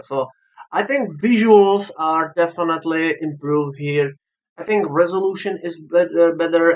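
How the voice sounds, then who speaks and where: A reviewer with a foreign accent talks casually and without a script over game footage. The delivery is slow and hesitant, often pausing to search for words. The pitch sits in a middle range, and the phrasing is plainly that of a non-native speaker. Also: poor audio. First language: not English. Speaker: visual novel reviewer.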